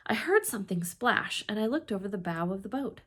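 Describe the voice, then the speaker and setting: A woman reading a children's storybook aloud in an expressive, dramatic way, with emphatic exclamations and hushed suspense. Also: medium pitch, brisk, a little hiss or hum. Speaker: storybook reader.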